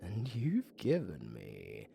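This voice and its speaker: Wildly swooping, gravelly British necromancer villain. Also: male voice, deep voice, huge pitch swings, slow pace, exaggerated voice. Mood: flirtatious, wry. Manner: theatrical, taunting, swinging between whispers and shouts. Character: undead male necromancer villain, flirtatious, gleefully wicked, theatrical. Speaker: audio roleplay voice actor. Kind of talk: ASMR audio roleplay acting.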